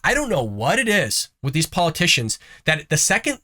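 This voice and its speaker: A man's voice: a little high, huge pitch swings, normal speed, pushed and bright. A man hosting a political commentary show, talking casually with folksy, sarcastic asides while congested from a cough. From United States. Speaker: host of a conservative news show.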